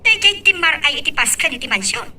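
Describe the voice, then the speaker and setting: A woman playing a sharp-tongued, suspicious woman in an audio drama, pointed and quick to accuse. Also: high voice, very animated, far from the mic, full character voice. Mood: insistent. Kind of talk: Ilocano radio-style drama acting.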